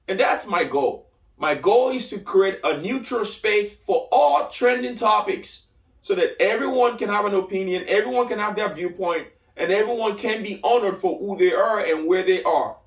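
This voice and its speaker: A male podcast host with a Nigerian accent and a notably high voice gives his views solo. He stresses points by saying key phrases again. The sound is distant and roomy, with clear echo around the words. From Lagos, Nigeria. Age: 30s. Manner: emphatic, repeats key phrases for effect. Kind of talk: solo opinion podcast monologue. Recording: echoey room, distant from mic.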